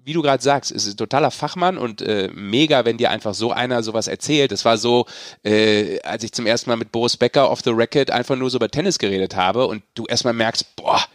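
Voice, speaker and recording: Mid-pitched man in a dry room. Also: fairly quick, no room sound. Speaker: podcast co-host and sports presenter. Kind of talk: casual sports chat.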